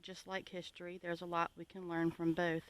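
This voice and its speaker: An earnest homesteader from the United States speaks straight to viewers in a plainspoken, advisory way. The pitch is mid-range and the sound is close and dry, with very little room in it. The delivery is an informal, reflective monologue. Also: monotone, moderate pace, smooth, dry recording. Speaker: homesteading vlogger.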